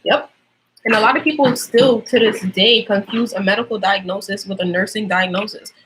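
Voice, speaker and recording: A woman's voice: medium pitch, steady tone, moderate pace, a bit bright, a little hiss or hum, rough recording. A young woman with a Trinidadian accent, chatting casually on a livestream as a nursing student, explaining things with lots of fillers.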